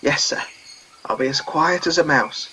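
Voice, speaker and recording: A man's voice: a little high, expressive, moderate pace, noisy background, close to an everyday voice. A man playing a meek, polite elderly medic in a comic radio play, genteel, fussy and apologetic.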